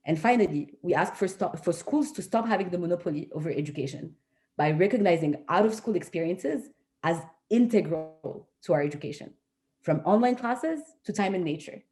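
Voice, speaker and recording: A woman delivering a prepared advocacy speech as an education activist, speaking earnestly in measured, deliberate phrases. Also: fairly low pitch, animated, darkish tone. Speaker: youth education movement co-founder, speaker.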